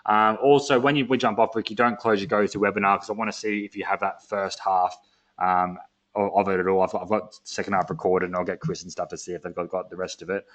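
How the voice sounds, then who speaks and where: This is a young man wrapping up a sales webinar. His voice sits on the low side, and he talks casually with lots of fillers. His energy is upbeat and full of hype. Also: fairly even intonation, quick pace, fairly bright tone, lo-fi sound. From Australia. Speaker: webinar host.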